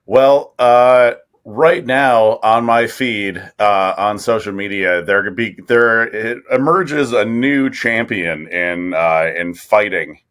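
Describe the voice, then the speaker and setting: A man co-hosting a casual comedy podcast, talking in a halting, wry way. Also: on the low side, a bit bright. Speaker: podcast co-host.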